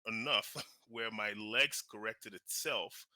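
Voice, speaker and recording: A man from Nigeria, a guest on an interview show, telling his life story in a lively, casual way with many fillers and restarts. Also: on the low side, slow, no echo. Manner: animated, frequent fillers and restarts. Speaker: guest, real estate investor and author.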